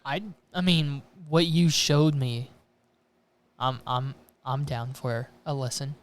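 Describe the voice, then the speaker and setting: A man's voice: mid-range voice, steady tone, slow. A man from the United States hosting a casual podcast chat, hesitant and self-deprecating. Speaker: podcast host.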